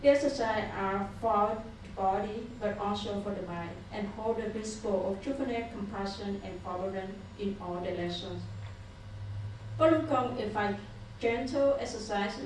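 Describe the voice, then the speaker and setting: A woman from Vietnam with a Vietnamese accent, reading a prepared statement from paper at a council meeting in a careful, halting way. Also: mid-range voice, darkish tone, slight background noise. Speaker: public commenter. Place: city council chamber. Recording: echoey room picked up from far off.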